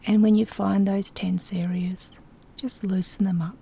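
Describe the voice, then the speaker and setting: A soft-spoken woman with a breathy, whispery voice gently guiding a sleep hypnosis session in a soothing, instructing way. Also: medium pitch, flat delivery, a little slow. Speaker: hypnosis guide.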